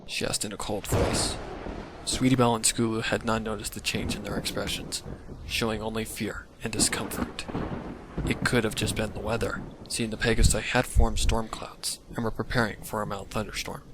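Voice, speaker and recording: A man narrating a scripted dark mystery story as a dramatic reading. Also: fairly low pitch, little pitch movement, normal speed, crowd noise. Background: thunder and rain effects.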